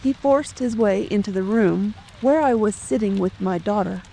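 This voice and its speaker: A woman's voice: mid-range voice, normal speed, gravelly, dark tone, a little hiss or hum, natural voice, rough recording. A woman playing a distressed, fearful middle-aged widow and protective mother in formal, old-fashioned phrasing for a dramatic audiobook. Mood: anxious, sorrowful. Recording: faint rain ambience behind.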